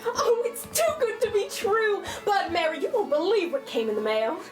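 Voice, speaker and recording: A woman's voice: extremely high pitch, very animated, normal speed. A woman acting a spirited, devoted teenage daughter in a 1940s holiday radio play, earnest and emotional. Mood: overjoyed, excited. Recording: roomy, distant, music underneath.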